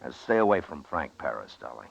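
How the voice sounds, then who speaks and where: An actor playing a stern, steady frontier marshal in 1950s western radio drama, speaking in terse, firm commands. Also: male voice, rumbling low, brisk, creaky, slightly rough, exaggerated voice. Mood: patient, guarded. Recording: dull vintage radio recording.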